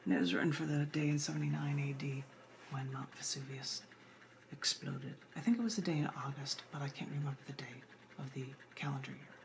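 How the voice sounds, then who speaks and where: A woman's voice: very deep voice, steady tone, brisk, vocal fry, a little hiss or hum. A woman poet giving a conversational live reading, informal and chatty, with asides. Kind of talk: conversational poetry reading on a livestream. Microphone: compact camera microphone.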